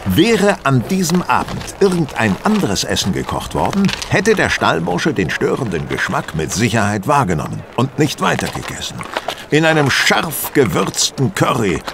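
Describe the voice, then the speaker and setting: An actor playing a self-assured, coolly superior detective in a German radio play, explaining with courteous precision and theatrical flair. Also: male voice, medium pitch, gravelly, background noise. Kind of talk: German audio drama acting.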